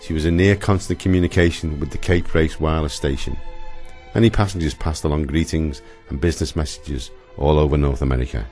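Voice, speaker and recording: A man's voice: extremely low pitch, fairly quick, darkish tone, soft background music. A man reading scripted historical narration for a radio play.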